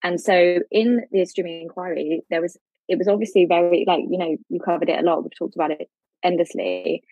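A woman's voice: lowish voice, animated, brisk, no echo, phone-quality sound. A woman, a legal academic, explaining policy with everyday analogies and frequent fillers in a podcast discussion.

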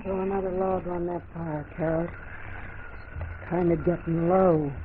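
A woman's voice: on the low side, fairly even intonation, a little slow, natural voice. An actress playing a tough, protective older frontier woman in a 1940s radio drama, blunt, folksy and commanding. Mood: weary, resigned. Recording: narrow-band, hissy old radio recording.

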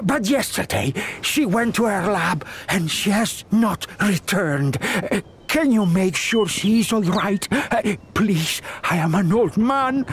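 Casting: frail old father, video game, worried and imploring, unhurried, faint background noise. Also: high voice. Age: older. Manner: hesitant, pleading. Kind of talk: video game cutscene acting.

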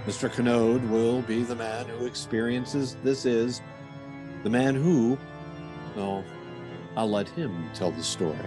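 A man's voice: mid-range voice, a little slow, music in the background. A man announcing a radio play in an introductory theater style.

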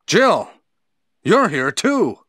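A man's voice: high voice, very animated, extremely slow, fairly bright tone, husky, no echo, clean studio sound, exaggerated voice. An actor playing a blustery, sheepish male team-mate in a stilted nineties video game.

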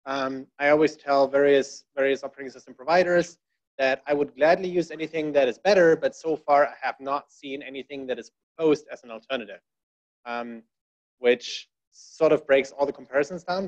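A man's voice: mid-range voice, a little fast. A man giving a conversational talk at a tech meetup from a podium, with many fillers and wry asides. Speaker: conference speaker. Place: dark presentation room. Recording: dull lo-fi room recording.

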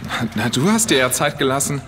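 A man's voice: mid-range voice, very animated, brisk, close to an everyday voice. A male actor playing a cocky, impatient boy who taunts others, in a children's audio drama. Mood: smug. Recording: long cave-like reverb, faint noise.

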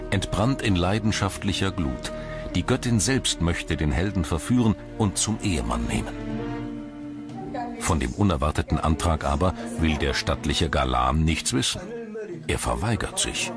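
A man reading scripted documentary narration in a measured, dramatic storytelling manner. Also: low-pitched. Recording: music bed behind the voice. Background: music.

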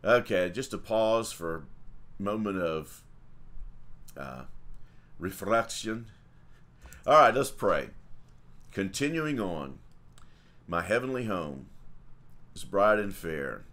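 A man's voice: sits low, big pitch swings, slow pace, long pauses, fairly bright tone. A middle-aged man, a priest, chatting casually on a livestream, folksy and rambling with many fillers and restarts. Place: small home room with icons.

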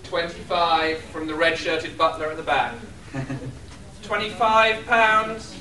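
Wry male auctioneer, slow and bright, heard from afar in an echoey room. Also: on the high side. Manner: wry, drawn-out delivery. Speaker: charity auctioneer. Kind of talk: reading lot descriptions with asides. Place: hotel function room at a convention. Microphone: far room camera. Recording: echoey room, distant camera.

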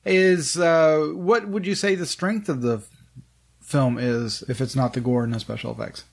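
A man's voice: a little high, steady tone, normal speed, creaky. A man hosting a podcast in a casual, conversational style, chatting with a reviewer. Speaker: podcast host. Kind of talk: casual review chat, asking questions.

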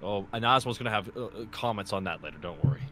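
A man's voice: on the low side, animated, fast, pushed and bright. A man playing a tabletop roleplaying game, casually narrating what his character does. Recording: faint background noise.

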